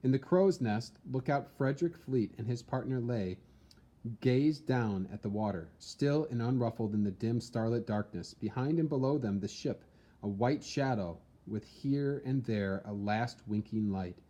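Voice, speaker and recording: Mellow male narrator, dramatic storytelling. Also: mid-range voice. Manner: measured, theatrical storytelling. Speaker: reader, narrator. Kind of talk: dramatic scripted narration of a historical account.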